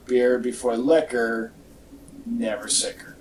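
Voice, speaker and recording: A man's voice: medium pitch, level tone, takes it slowly, a little mellow. Jokey man podcasting from off the mic. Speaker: podcast host. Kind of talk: casual rambling chat. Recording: distant mic, echoey in parts.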